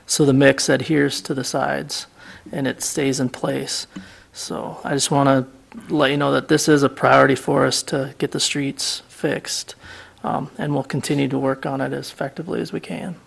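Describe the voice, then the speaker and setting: Gravelly man giving a flat report. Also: medium pitch, moderate pace. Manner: flat, steady report with many ums. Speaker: public works director. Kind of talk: council meeting report. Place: city council chamber.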